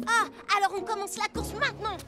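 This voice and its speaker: A woman's voice: extremely high pitch, fairly even intonation, a little fast, over loud music. A woman voicing a proud, competitive little boy in a French-language cartoon dub, bragging and defiant. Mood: taunting, excited.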